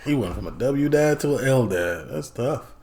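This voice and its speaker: A man hosting a reaction video, talking in animated, slang-heavy remarks. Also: medium pitch, moderate pace. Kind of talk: casual reaction commentary.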